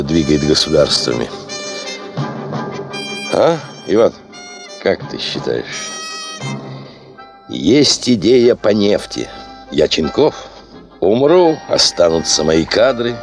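Low voice, animated intonation, domineering driven chief, musing, emphatic, radio play, music behind. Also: male voice, vocal fry, full character voice. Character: domineering, driven male chief of a gold prospecting expedition. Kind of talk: Soviet radio play acting.

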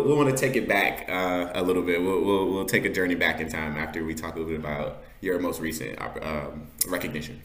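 A man with a low-pitched voice hosts a casual interview. He is encouraging and affirming as he guides the conversation, and the recording has a noticeable roomy echo. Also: a bit bright. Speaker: interview host. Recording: roomy, echoing sound.

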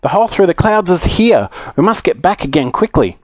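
A man's voice: high-pitched, very animated, a little fast, dry recording, pitched above the performer's own voice. A man voicing a plucky, bossy young boy in a children's storybook, calling out eagerly and urgently.

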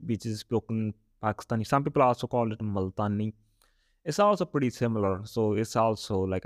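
Punjabi-accented man, casual and lowish. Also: no echo, clean studio sound. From Punjab, India. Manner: chatty, often adds "right?" and "all right?". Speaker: podcast host teaching Punjabi. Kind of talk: casual spoken lesson.

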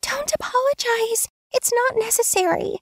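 A woman reading a story aloud, voicing a caring young woman friend who comforts and scolds in a much higher voice than her narration. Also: normal speed, gravelly, dry recording. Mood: reassuring. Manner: pleading and soothing, then stern.

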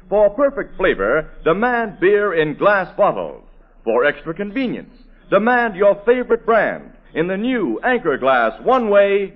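A man announcing in a polished, emphatic 1940s radio advertising style. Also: high voice. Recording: tinny old radio recording.

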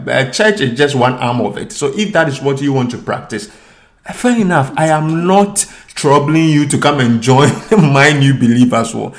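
A man, co-hosting a casual podcast conversation, telling stories in an animated way and acting out other people's lines in a raised voice. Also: mid-range voice, moderate pace. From Ghana. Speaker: podcast co-host.

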